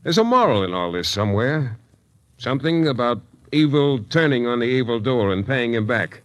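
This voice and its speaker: An actor playing a wry, terse, flirtatious hardboiled private detective in a 1940s radio drama. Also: male voice, medium pitch, big pitch swings, moderate pace, fairly bright tone. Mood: grave, explaining. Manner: wry, terse tough-guy patter. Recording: 1940s radio recording with music.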